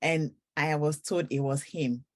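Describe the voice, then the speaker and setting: A woman's voice: deep voice, fairly even intonation, measured pace. A woman author from Ghana, telling her story earnestly in a faith interview. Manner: earnest storytelling, breaks into song.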